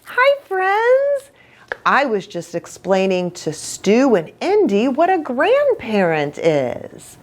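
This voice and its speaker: Lady reading a kids book out loud, super animated, takes her time, chatty asides. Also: a little high, takes it slowly. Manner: expressive, chatty asides to children. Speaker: children's ministry storyteller. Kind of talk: read-aloud storytelling for young children.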